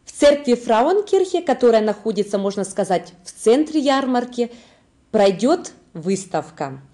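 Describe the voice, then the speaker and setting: A woman's voice: on the high side, very animated, measured pace, dark tone. A woman in her thirties reading scripted news in a formal manner as a presenter in a studio. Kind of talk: scripted newsreading. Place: indoor studio.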